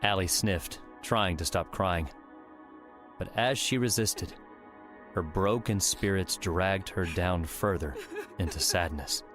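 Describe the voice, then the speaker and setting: Deep-voiced male audiobook narrator. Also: slow pace, fairly bright tone. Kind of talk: scripted story narration. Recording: music under the voice.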